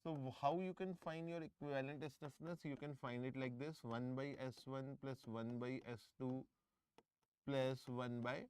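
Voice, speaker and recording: Smooth-voiced Indian man teaching live. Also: mid-range voice, darkish tone, no room sound. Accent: Indian English. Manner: checks in with students often, repeats phrases. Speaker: engineering exam tutor. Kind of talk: live online lecture. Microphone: close microphone.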